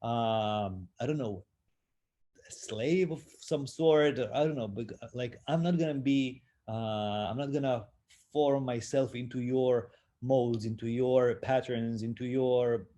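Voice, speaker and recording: A man's voice: medium pitch, measured pace, mellow. A man from Israel, speaking as a panelist in a roundtable discussion over a video call, hesitant with many fillers. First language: Hebrew. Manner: hesitant, many fillers, self-deprecating laughs.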